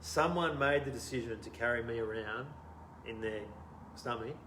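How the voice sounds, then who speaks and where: Australian man in his thirties, interview guest, lively storyteller, laughs a lot, roomy sound, faint background hum. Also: mid-range voice, normal speed. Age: 30s. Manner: animated, laughs often, trails off quietly. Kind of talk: casual storytelling conversation.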